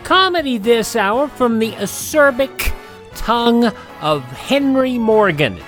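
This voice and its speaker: A man's voice: very high-pitched, sing-song, slow pace, a bit bright. Male radio host introducing over faint music. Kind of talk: scripted introduction.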